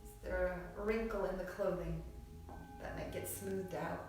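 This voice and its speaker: A woman teaching yoga nidra, guiding relaxation in a soothing, unhurried manner with short phrases and long pauses. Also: lowish voice. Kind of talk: guided relaxation meditation. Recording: very echoey room, distant mic, music behind. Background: music.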